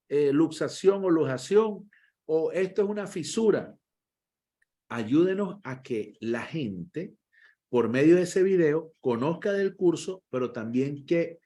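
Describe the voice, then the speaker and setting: Man, first aid instructor, online class, lively, encouraging, repeats himself, video call mic. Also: on the high side.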